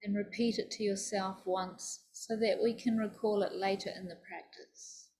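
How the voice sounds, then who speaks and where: A woman teaching yoga nidra, leading a guided meditation in a soothing, deliberate, instructive manner. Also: mid-range voice, steady tone, a little mellow.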